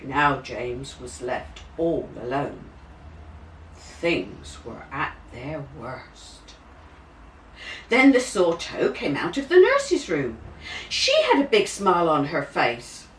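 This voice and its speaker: A woman narrating a children's story expressively, with dramatic, deliberate, drawn-out emphasis. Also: deep voice, sing-song. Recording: roomy, distant mic, faint hiss.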